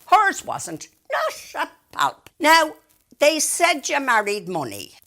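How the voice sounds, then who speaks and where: An Irish woman giving a dramatic recitation of narrative verse, telling it like a story with deliberate pauses and emphasis. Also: fairly low pitch, vocal fry, a bit bright. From Ireland. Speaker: monologue reciter.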